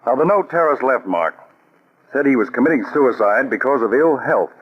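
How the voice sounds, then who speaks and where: An actor playing a shrewd counterspy chief in a 1940s radio drama, speaking with a calm, authoritative, deliberate manner. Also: male voice, medium pitch, slightly rough. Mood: calm command. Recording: dull lo-fi old radio recording.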